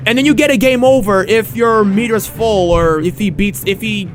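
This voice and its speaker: A man hosting a casual game review podcast, rambling and tripping over his words, slightly hoarse from losing his voice. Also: sits high, normal speed, faint music behind. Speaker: podcast host.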